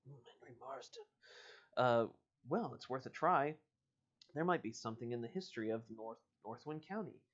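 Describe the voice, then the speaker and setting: A man's voice: medium pitch, animated, moderate pace, highs cut off, close to an everyday voice. A man voicing a young, skeptical, inquisitive detective in a storybook, with a plain questioning manner. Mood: musing. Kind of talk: storybook character reading.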